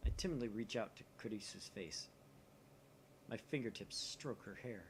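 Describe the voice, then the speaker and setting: A man's voice: fairly low pitch, moderate pace. A man narrating a visual novel aloud expressively, dropping in casual asides. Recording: steady faint background noise.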